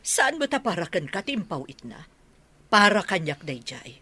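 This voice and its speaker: Woman playing an animated, indignant older Ilocano mother. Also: on the low side, normal speed, slightly rough, exaggerated voice. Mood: scolding. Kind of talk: Ilocano comedy radio drama acting.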